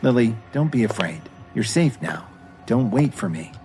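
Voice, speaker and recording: Audio drama lead, acted by a man: young male hero, composed, defiant, expressive intonation, faint music behind. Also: mid-range voice, moderate pace. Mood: hushed, reassuring.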